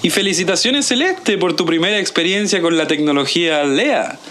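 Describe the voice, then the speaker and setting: Self-assured Chilean entrepreneur, exclamatory and formal, bright, highish voice, audio drama. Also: male voice, lively intonation, normal speed, a little hiss or hum. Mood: effusive. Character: effusive, smooth-talking male entrepreneur running a research lab.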